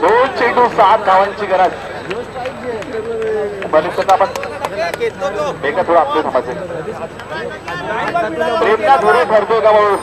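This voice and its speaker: High-voiced man, live cricket commentary, repetitive informal calls, noisy outdoor crowd. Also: animated, measured pace, long pauses. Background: crowd and outdoor ambience noise.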